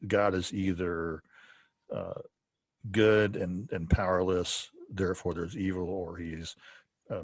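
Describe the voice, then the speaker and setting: A man hosting a relaxed podcast chat, with a very low voice and a husky grain to it. He talks loosely and chuckles between thoughts. The sound is dry and close to the mic. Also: no echo. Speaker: podcast host. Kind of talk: casual conversational interview.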